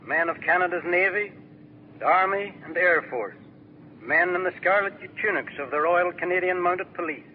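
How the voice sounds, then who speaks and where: An actor playing an elderly small-town newspaper editor, gently recalling past days in a 1940s radio drama. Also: male voice, sits high, moderate pace, slight background noise, natural voice. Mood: wistful. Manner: reminiscent, gentle. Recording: tinny old radio broadcast.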